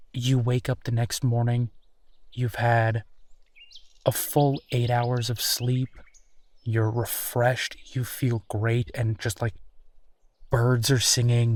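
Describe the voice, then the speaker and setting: A man playing a friendly, easygoing werewolf boyfriend in human form, talking in a musing, conversational way with hesitant pauses in an intimate roleplay audio. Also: medium pitch, natural voice. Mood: musing, enthusiastic.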